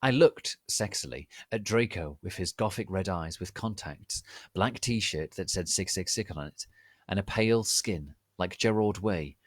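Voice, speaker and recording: A man's voice: sits low, lively intonation, unhurried, no echo, studio quality. A man giving a theatrical, exaggerated dramatic reading of a fan fiction story. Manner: theatrical, exaggerated, reads typos literally. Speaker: reader.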